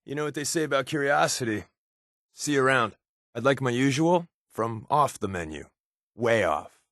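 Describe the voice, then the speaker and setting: An actor playing a sardonic, wisecracking undercover resistance agent in a video game, with a casual, slangy, quipping delivery. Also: male voice, mid-range voice, expressive, moderate pace, fairly bright tone, dry recording, studio quality. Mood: breezy, joking. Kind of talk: video game character voice lines.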